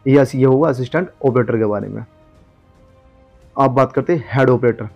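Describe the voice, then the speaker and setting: North indian guy, exam coach talking straight to students, warm dark-ish voice, mid pitch, repeats his advice. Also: normal speed, creaky, muffled recording, faint music behind. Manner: instructive, repeats advice, addresses students directly. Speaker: exam coaching teacher, former police employee. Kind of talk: informal exam guidance lesson.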